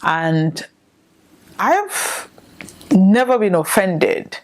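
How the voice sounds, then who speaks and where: A woman's voice: mid-range voice, big pitch swings, very slow. A woman from northern Nigeria with a Nigerian accent, hosting a solo podcast and giving her views in a reflective way, full of rhetorical questions. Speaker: podcast host. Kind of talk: solo opinion commentary to camera.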